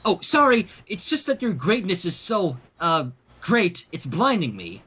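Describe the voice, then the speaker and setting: A man voicing a polite, earnest young female pony princess with a hesitant, deliberate manner in a storybook reading. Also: sits high, very animated, pushed and bright, a little hiss or hum, heavily acted. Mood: feigned innocence.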